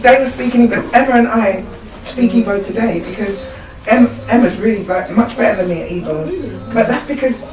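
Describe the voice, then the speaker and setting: A woman's voice: fairly high pitch, brisk, few pauses. A woman chattily recalling her childhood during a support group discussion. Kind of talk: personal storytelling in group discussion. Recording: echoey room, distant mic.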